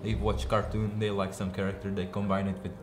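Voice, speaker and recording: A man's voice: lowish voice, monotone, fairly quick, background noise. A teenage boy from the Czech Republic, a Czech native speaker, answering interview questions hesitantly with many fillers. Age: teens. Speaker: guest. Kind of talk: casual interview. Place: indoor room with wooden wall. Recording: roomy echo, music under it.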